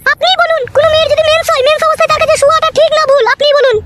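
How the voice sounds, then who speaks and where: Squeaky-high bossy Bengali cartoon wife. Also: female voice, little pitch movement, very fast. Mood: indignant. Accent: rural West Bengal. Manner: scolding, bossy, coarse-tongued. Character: fierce, bossy young village wife, threatening her husband. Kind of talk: comedy cartoon dialogue. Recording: loud noise behind the voice.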